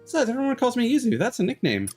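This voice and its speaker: A man's voice: very high voice, huge pitch swings, rapid-fire, a little mellow, breathy edge, soft background music, voice pushed up, full character voice. A man voicing a friendly, easygoing anime schoolgirl, reading video game dialogue in a chirpy manner. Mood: breezy, friendly. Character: friendly, breezy anime schoolgirl who loves nicknames.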